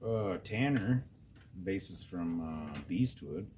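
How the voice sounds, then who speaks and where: Deep-voiced man, casual podcast host. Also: animated, takes it slowly, a crowd in the background. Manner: swears freely, stumbles over names. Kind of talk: casual profane chat, reading out listener responses. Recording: noisy background.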